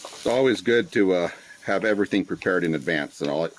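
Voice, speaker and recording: A man in his forties, born in the Philippines, chatting casually through a cooking vlog on his windy front patio. He uses a phone and Bluetooth earbuds, drops in Tagalog phrases, and fills pauses with "uh". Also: sits low. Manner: relaxed and chatty, with many uh fillers and Tagalog phrases mixed in. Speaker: cooking channel host. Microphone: Bluetooth earbuds with a cell phone. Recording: noisy outdoor phone recording with wind and sizzling. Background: sizzling and stirring in a wok.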